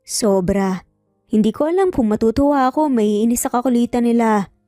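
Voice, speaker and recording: A woman playing an earnest, guarded mother in a story, speaking calmly and sincerely. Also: fairly high pitch, no room sound, studio quality, faint music behind, lightly acted. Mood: hesitant, sincere. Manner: calm, measured, sincere. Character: earnest, guarded mother, gently firm with her children. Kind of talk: dramatized storytelling.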